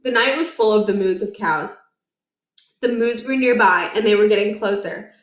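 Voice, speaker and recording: A woman narrating a children's book aloud in an expressive, storytelling way. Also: medium pitch, normal speed. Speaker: storybook reader. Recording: roomy, echoing indoor recording.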